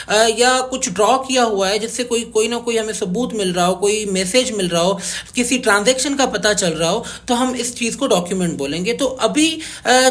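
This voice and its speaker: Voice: mid-range voice, a bit bright. A legal educator with a Pakistani accent gives an informal explanatory lesson, rephrasing often with hesitations and mixing in English terms. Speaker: legal educator, video host.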